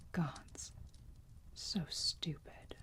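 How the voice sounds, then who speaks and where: A woman playing a motherly, teasing vampire who soothes a wounded enemy in a slow, breathy whisper, in an ASMR roleplay. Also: a bit bright. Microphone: close microphone.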